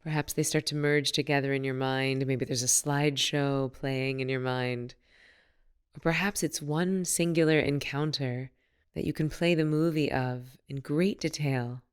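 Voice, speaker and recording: A woman leading a guided meditation as a teacher, speaking in a soothing, unhurried and gently instructive manner. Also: very deep voice, fairly even intonation, clear, resonant tone.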